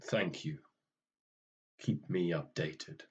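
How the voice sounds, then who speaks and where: Guy acting grim reaper type, low husky voice, slow and formal, weary, radio play. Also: highs cut off, exaggerated voice. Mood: reserved. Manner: formal, dry, understated.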